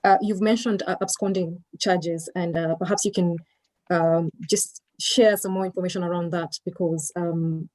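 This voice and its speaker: A woman's voice: fairly low pitch, fairly even intonation, moderate pace, a little mellow. A woman moderating an online panel, asking questions politely and hesitantly.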